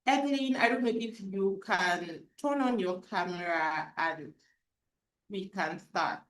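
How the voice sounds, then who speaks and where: A woman's voice: mid-range voice, unhurried, fairly bright tone, echoey room. A woman moderating a live online webinar, upbeat and often checking in with the audience. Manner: upbeat, repeats "right?" to the audience. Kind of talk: hosting a live online session. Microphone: video call.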